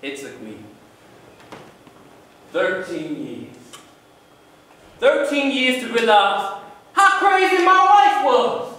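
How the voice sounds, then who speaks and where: A man performing a theatrical stage monologue as an emotional young father recalling a birth, with deliberate dramatic pauses. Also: extremely high pitch, big pitch swings, sharp tone, pitched above the performer's own voice, heavily acted. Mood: exasperated, wry. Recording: very echoey room, distant mic, faint noise.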